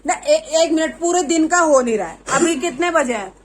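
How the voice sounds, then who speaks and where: A woman's voice: sits high, expressive, moderate pace. A woman laying down the rules of a family challenge in casual banter. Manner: bossy, sets the rules. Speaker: family vlogger. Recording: noisy home recording.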